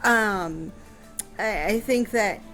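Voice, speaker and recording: A woman explaining things conversationally on a radio show, thoughtful and pausing mid-phrase as she goes. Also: medium pitch, a bit bright, soft background music. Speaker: radio guest answering history questions.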